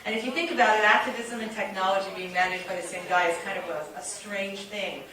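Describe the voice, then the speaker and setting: A woman hosting an event, introducing presenters informally and haltingly as she searches for words. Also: medium pitch, fairly even intonation, bright, forceful tone. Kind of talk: informal spoken introduction to an audience. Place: large echoey event room. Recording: echoey hall, distant microphone.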